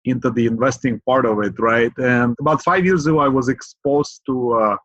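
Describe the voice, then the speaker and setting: A middle-aged man from Ukraine, a podcast guest, talking in an earnest, measured way with fillers, often asking "right?". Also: mid-range voice, fairly bright tone, no echo, highs cut off. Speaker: guest, real estate investor. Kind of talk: podcast interview.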